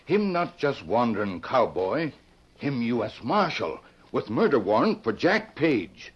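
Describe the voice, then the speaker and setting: Husky Indian sidekick in broken English. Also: male voice, medium pitch, very animated, measured pace. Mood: earnest, reporting. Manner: clipped broken English without articles. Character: loyal Indian companion of a masked Western lawman. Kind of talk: old-time radio western acting. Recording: muffled vintage radio recording.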